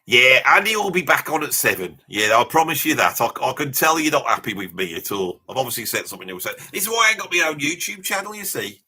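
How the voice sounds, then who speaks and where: A man putting on a brash, sharp-voiced older Brummie bloke. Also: mid-range voice, sing-song, quick pace, few pauses, breathy edge, heavily acted. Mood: defensive. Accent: Birmingham. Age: 70s. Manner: blunt, matey, ranting, peppered with mild swearing and tag questions. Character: brash, opinionated seventy-year-old Birmingham bloke, self-styled ladies' man. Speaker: livestream host. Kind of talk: casual livestream chat and commentary, answering viewer comments.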